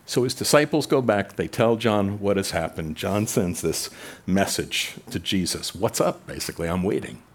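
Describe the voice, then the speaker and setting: A man preaching a sermon in a conversational way, rising in pitch and volume for emphasis. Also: expressive, normal speed. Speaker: pastor.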